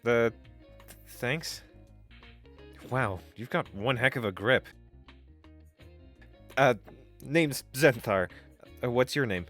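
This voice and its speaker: A man's voice: medium pitch, takes it slowly, pauses often, a bit bright. An actor playing an anxious, eager young man of twenty-two leading space biker recruits in an audio drama, stammering nervously. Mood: awkward. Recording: music under the voice.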